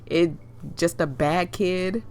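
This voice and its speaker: A woman with a cold and a hoarse, fading voice, reading a visual novel aloud with chatty asides. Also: on the low side, slow pace, a little mellow. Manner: chatty, laughs and coughs often, rambling asides. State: hoarse, losing her voice with a cold. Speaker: game reader and streamer.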